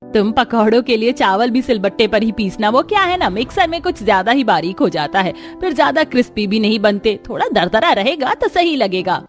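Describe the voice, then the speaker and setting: A woman's voice: a little high, expressive, brisk, natural voice. A middle-aged cartoon mother-in-law, voiced by a woman, homely but bossy. She hands out demands to the household. Faint music sits underneath. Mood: demanding. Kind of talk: Hindi cartoon story dialogue.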